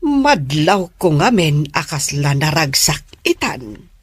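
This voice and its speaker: A woman plays a fretful mother in a radio drama, dispensing advice to her grown daughters. She speaks slowly with swooping pitch and a husky edge. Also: very animated, dry recording, heavily acted. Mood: curious, coaxing.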